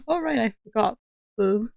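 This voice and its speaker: A woman streamer chatting on a call, soft-spoken and laughing often. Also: on the high side, expressive, a little slow, creaky, no room sound, lo-fi sound. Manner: giggly, laughs often, speaks softly. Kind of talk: casual livestream chat on a call.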